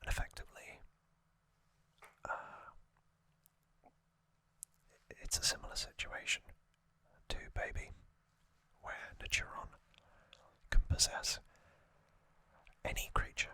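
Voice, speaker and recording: A man whispers in a breathy ASMR style, taking his time over each phrase. The sound is soft yet very bright and sibilant, as he informally explains trivia like a host guiding a listener. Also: a little slow, pauses often, no echo.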